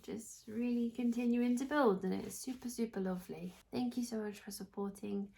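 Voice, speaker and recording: Young English woman, knitting vlog host; nasal and under the weather, gravelly fry, rambling chatty delivery. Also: fairly high pitch, normal speed, dark tone. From England. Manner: chatty, rambling, frequent restarts. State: hoarse, congested, recovering from illness. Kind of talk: casual chat to camera.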